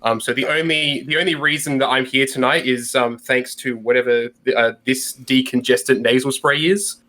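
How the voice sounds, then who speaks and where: A man recovering from a sinus infection co-hosts a laid-back gaming podcast, stumbling through ums and restarts as he explains things. His voice sits at a middle pitch, heard over a video call. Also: steady tone, moderate pace, pushed and bright. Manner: hesitant, many ums and restarts, swears casually. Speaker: podcast co-host. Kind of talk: casual chat.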